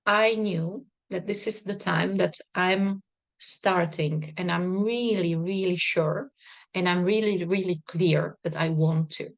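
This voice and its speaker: A woman, a non-native English speaker, talking to a live stream audience as a business coach in a bubbly, emphatic way with frequent laughter. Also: on the low side. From not Czech, worked in Czech Republic. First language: not English. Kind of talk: live stream talk to viewers.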